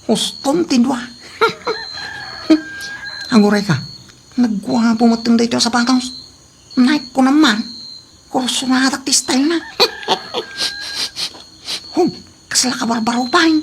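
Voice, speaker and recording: A woman's voice: on the high side, measured pace, creaky, long pauses, slight background noise. A woman playing a sneaky, whining male thief in a comedy audio drama, muttering and exclaiming. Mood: gleeful, sneaky.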